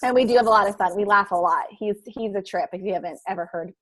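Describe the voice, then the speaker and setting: A woman co-hosting a podcast, chatting excitedly in a casual panel and laughing often. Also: medium pitch, fast, dry recording. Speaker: podcast co-host.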